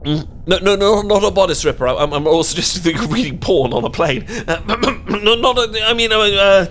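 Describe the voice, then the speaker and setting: A man's voice: high-pitched, animated, fairly quick, a bit bright, a little hiss or hum. A British man playing a nervous, bookish man in an audio romance roleplay, stammering and rambling in a flustered way.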